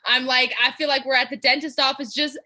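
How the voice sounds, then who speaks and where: A woman's voice: high-pitched, flat delivery, a little fast, pushed and bright. A young woman from Georgia, a guest in a casual interview, talking in a bubbly, chatty way with lots of fillers. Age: young adult.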